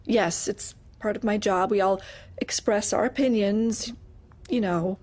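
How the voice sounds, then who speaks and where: A woman's voice: medium pitch, a little slow, a little mellow, lightly acted. An actress playing a guarded, uneasy female office employee in an audio drama, hesitant, polite and defensive. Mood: guarded politeness. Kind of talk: audio drama acting, dark comedy.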